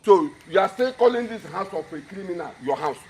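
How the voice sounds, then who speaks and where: A man's voice: sits high, lively intonation, brisk, a little hiss or hum. An actor with a Nigerian accent playing a scornful, domineering man in a film melodrama, commanding and taunting. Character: scornful, domineering man ordering a woman home.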